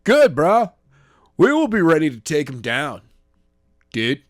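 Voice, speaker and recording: A man's voice: huge pitch swings, measured pace, dry recording, clean studio sound. A man reading a novel aloud, voicing a brash villain in a tie who calls everyone bro. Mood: cocky. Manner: laid-back slangy drawl, pitched up. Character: brash surfer-talking man in a tie, always saying bro.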